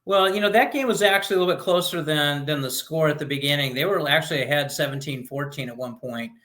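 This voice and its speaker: A man's voice: a little high, fast talker, pushed and bright. An American man who works as a radio sports announcer, chatting casually in an interview.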